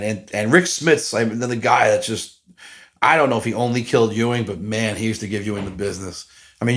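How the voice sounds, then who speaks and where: A man in his forties talking alone on a podcast, rambling and self-deprecating, with lots of fillers and restarts. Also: on the low side. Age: 40s. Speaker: podcast host. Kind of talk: casual solo podcast monologue.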